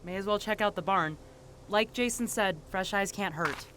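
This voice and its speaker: A woman's voice: medium pitch, normal speed, noisy background, close to an everyday voice. An actress playing a determined but guarded female investigator in an audio drama, earnest and a little hesitant. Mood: alert, searching.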